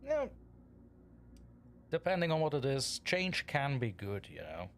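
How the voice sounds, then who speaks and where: A man's voice: medium pitch, sing-song, a little slow, a little hiss or hum. A man hosting a livestream, chatting casually and playfully with his audience and reading their comments aloud, often chuckling. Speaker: livestream host reading chat.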